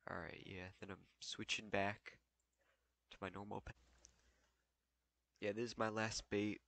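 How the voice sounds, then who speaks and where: Young guy talking slow n low over his gameplay, lots of likes and ums. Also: little pitch movement, gravelly, fairly bright tone, dry recording. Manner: loose, filler-heavy, thinking aloud while playing. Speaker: gaming tutorial creator. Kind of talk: casual gameplay walkthrough.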